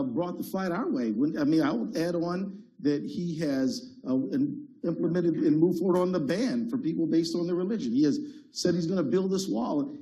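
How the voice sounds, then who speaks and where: Male candidate, high and mellow voice, earnest, rhythmic debate style. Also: rough recording. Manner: earnest, building repetition. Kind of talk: debate answer.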